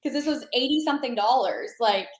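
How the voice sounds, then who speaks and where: A woman's voice: high-pitched, fairly quick, echoey room. A woman guest chatting casually on a podcast, rambling with fillers and restarts.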